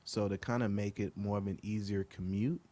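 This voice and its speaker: Laid back guy from liberia on a podcast, low steady voice, lots of ums. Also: brisk. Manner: relaxed, earnest, many ums. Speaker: guest, outdoor group co-founder. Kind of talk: casual podcast interview.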